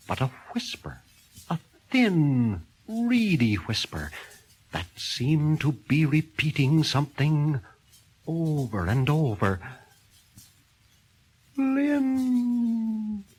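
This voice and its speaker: Slow, suspenseful male horror narrator. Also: lowish voice, breathy edge, low-quality recording. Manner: theatrical, suspenseful reading. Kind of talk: dramatic horror story narration.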